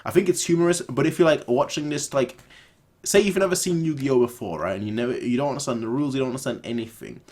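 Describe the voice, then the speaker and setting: A man's voice: mid-range voice, quick pace, darkish tone. Man giving rambling, emphatic commentary. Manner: rambling, frequently doubling back and repeating phrases for emphasis; emphatic, opinionated. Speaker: reaction video host. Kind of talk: casual off-the-cuff commentary.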